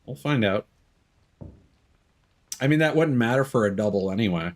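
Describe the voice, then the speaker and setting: A man's voice: medium pitch, animated, normal speed. A man doing live video game commentary, reading game text aloud and tossing in playful quips and wordplay. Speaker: streamer playing a video game.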